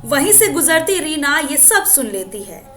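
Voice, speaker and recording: Woman storyteller, really high voice, bit of music under it. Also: very animated, a little slow. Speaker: narrator. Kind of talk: scripted moral story narration.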